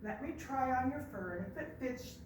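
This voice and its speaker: A helpful snake , acted out by a woman storyteller, who talks low, slow and apologetic. The sound is echoey and heard from far off, with faint noise in the background. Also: animated. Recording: echoey room, picked up from far off.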